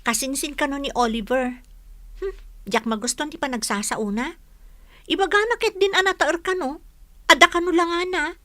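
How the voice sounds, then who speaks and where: Touchy, expressive young woman, Ilocano accent, high bright voice, radio drama, female actor. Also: normal speed, long pauses, no room sound. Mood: indignant. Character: young woman, sassy and easily offended. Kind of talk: radio comedy-drama acting.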